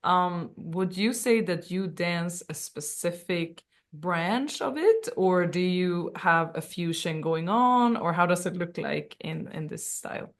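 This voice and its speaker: A woman co-hosts a casual interview over a video call, full of enthusiasm. Her questions wander and stretch out. Her pitch is a touch low for a woman. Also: slow pace. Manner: enthusiastic, asks long rambling questions. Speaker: co-host.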